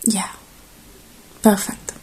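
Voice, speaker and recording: A woman speaking softly and gently in a halting, reassuring ASMR roleplay, slipping between quiet voice and whisper. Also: low-quality recording. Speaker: ASMR performer playing a robot repair technician.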